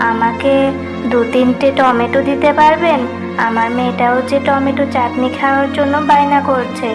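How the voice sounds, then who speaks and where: A woman's voice: high voice, natural voice. A woman voicing a poor, hardworking animal mother in a cartoon, patient, humble and placating. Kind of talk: cartoon voice acting.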